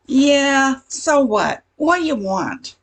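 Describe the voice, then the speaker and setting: A woman voices a worn-out waitress in a radio comedy. Her highish voice is gravelly and raspy, with slow, weary timing. She is blunt and sarcastic. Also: sing-song, full character voice, poor audio. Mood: brusque. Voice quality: gravelly, husky. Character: brusque, worn-out diner waitress. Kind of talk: amateur comic radio sketch.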